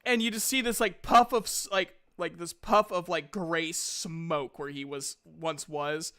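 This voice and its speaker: Woman, game master, smooth clear voice, slow halting delivery, casual improvised narration. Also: on the low side, fairly even intonation, fairly bright tone. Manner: halting, restarts descriptions mid-phrase.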